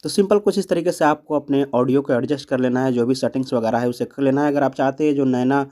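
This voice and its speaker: Fast-talking man giving an app tutorial. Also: mid-range voice, dark tone. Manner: explanatory, repeats phrases step by step. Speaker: tutorial presenter. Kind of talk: step-by-step app tutorial voice-over.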